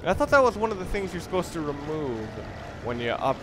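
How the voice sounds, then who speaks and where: Male let's-play commentator, animated intonation, casual and rambling with restarts, noisy recording with game sound behind. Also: on the high side. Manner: rambling, halting, repeats and rephrases himself. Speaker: gaming video host, player. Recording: clear background noise under voice.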